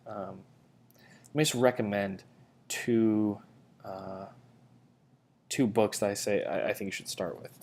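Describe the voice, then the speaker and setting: A man with a low, gravelly voice walks through his favorite study resources for ancient Greek. He speaks in a flat, even tone, loose and unhurried, with frequent ums and restarts. A faint hiss sits under the recording. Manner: relaxed, rambling with many fillers and restarts. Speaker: Greek language teacher. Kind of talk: casual recommendation talk. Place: church community setting.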